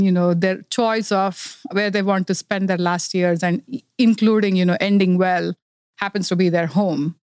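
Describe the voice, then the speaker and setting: A middle-aged woman who grew up in India speaks earnestly as a founder in a podcast interview, with many fillers as she explains. Her voice is smooth and resonant. The sound is dry and close. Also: medium pitch, a little mellow, no echo. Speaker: startup founder.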